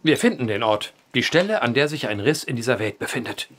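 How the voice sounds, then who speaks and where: An actor playing an earnest, methodical man who investigates vanishings, speaking formally in old-fashioned, measured phrases in a horror audio drama. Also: medium pitch, animated, a bit bright, a little hiss or hum, lightly acted. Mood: earnest, deliberate.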